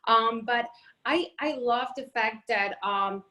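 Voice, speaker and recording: A woman's voice: a little high, slow pace, bright, forceful tone. A woman reporter from Colombia, raised in Miami, talking in a friendly, chatty way over a phone on a video call. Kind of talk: casual talk.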